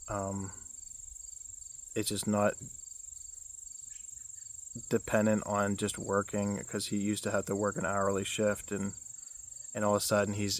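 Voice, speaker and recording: Low-voiced young man rambling outdoors. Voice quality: low baritone voice. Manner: rambling, with many restarts and fillers. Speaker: vlogger. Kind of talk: casual self-help vlog.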